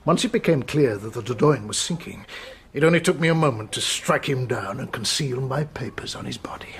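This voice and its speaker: An actor playing a mocking, cold-blooded master criminal in a radio drama, urbane and condescending. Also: male voice, mid-range voice, lively intonation, brisk, slight background noise, natural voice. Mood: smug, cold.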